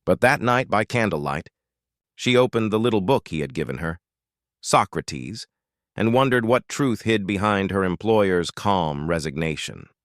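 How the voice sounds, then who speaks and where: A British man narrating a scripted bedtime fairytale in a gentle, soothing, measured way. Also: sits low, lively intonation, no room sound, studio quality.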